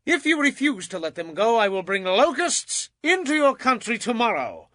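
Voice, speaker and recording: An actor playing Moses as a bold, defiant Hebrew prophet in a dramatized audio Bible, speaking emphatically. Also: male voice, very high voice, very animated, moderate pace, bright, forceful tone, dry recording, studio quality, exaggerated voice. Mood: defiant warning. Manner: declamatory, emphatic.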